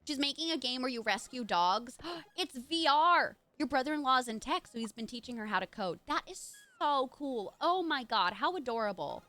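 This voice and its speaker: A woman's voice: high voice, lively intonation, moderate pace, hardly any pauses, sharp tone. A young woman streamer reading a visual novel aloud and chatting to her viewers in a bubbly, gushing way.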